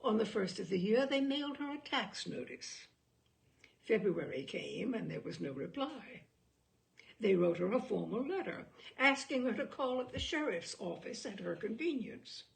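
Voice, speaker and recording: A woman narrates literary fiction as a storyteller would, phrasing each clause deliberately and dramatically. Her voice is heavily creaked and gravelly, and its pitch moves freely up and down. She leaves expressive pauses as she reads. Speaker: storyteller reading a short story aloud.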